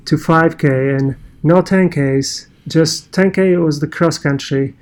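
A man's voice: on the high side, a little mellow. A man from Poland with a Polish accent, telling his own story in casual conversation and often restarting his sentences. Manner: halting, many restarts and fillers.

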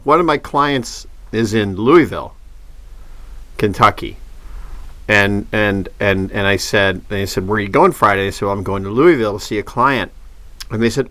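A man's voice: medium pitch, fairly bright tone, no echo. A middle-aged man co-hosting a podcast, chatting casually and rambling through stories with many restarts. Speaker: podcast co-host. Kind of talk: casual chat.

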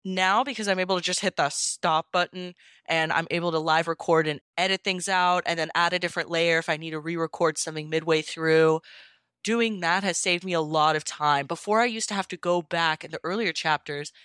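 A woman's voice: on the low side, steady tone, brisk, a bit bright, smooth, no room sound. A woman being interviewed, chatting informally and explaining things step by step in a casual way. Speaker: podfic narrator being interviewed.

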